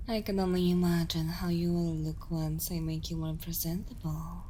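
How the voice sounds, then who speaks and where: A woman playing an imperious, seductive evil queen in an ASMR roleplay, speaking deliberately and often slipping toward a whisper, commanding and condescending. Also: fairly low pitch, little pitch movement, a little hiss or hum, natural voice. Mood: amused, predatory. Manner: slow, deliberate, hushed delivery slipping into whispers. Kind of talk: ASMR roleplay, intimate dramatic monologue.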